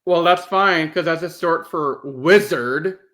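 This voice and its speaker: Guy hosting a podcast, kinda high bright voice, just chatting casually. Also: takes it slowly. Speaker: podcast host. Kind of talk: casual chat.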